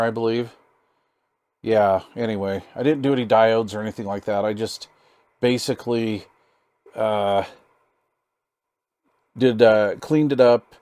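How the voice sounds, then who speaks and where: A man giving a casual hands-on demonstration as a hobbyist, talking in a halting, easygoing, folksy way and sometimes muttering to himself. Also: on the low side, darkish tone, no echo. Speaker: hobbyist presenter demonstrating radio gear.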